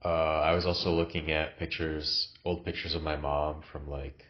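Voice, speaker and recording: A man's voice: rumbling low, level tone, normal speed, highs cut off. A man with a cough, recording a casual, reflective vlog in his new apartment, talking hesitantly with many fillers. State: has a cough. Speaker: vlogger.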